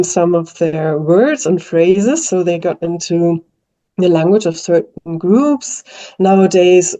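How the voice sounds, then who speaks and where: A woman's voice: lowish voice, mellow. A German-speaking woman with a German accent, a linguist answering interview questions in a thoughtful way, with many fillers and restarts. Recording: dull lo-fi sound.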